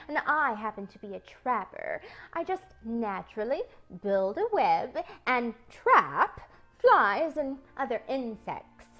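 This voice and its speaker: A woman's voice: a little high, a bit bright, full character voice. A woman voicing a genteel, self-assured female spider in a thin, pleasant voice, with precise, fancy wording, as in a children's storybook. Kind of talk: expressive children's storybook character reading.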